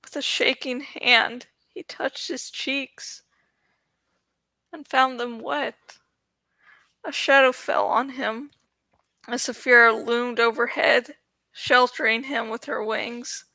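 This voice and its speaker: A woman's voice: a little high, steady tone, slow, long pauses, pushed and bright, no room sound. A woman hosting a read-aloud, moving between casual asides and dramatic audiobook-style narration of a novel. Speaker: host reading a novel aloud. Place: home study, close dry recording.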